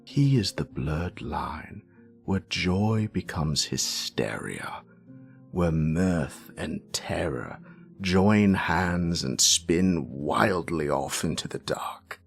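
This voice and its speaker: Grumpy, sassy British wizard professor, played by a man: very low voice, slow theatrical delivery, savouring every word of the lore. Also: expressive, soft background music, full character voice. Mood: ominous, relishing. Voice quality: gravelly, creaky. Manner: wry, theatrical, savouring words with dramatic pauses. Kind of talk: scripted in-character lore monologue.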